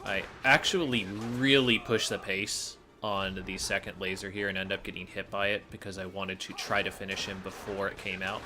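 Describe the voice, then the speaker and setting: A man's voice: deep voice, fairly even intonation, moderate pace, few pauses, sharp tone, a little hiss or hum. A man giving explanatory gameplay commentary as a speedrunner, matter-of-fact and instructive. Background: faint game music.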